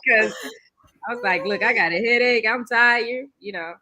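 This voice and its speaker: Bright-voiced woman telling stories. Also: a little high, normal speed, slight background noise. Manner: animated storyteller, acts out dialogue. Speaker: show co-host. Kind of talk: casual storytelling chat.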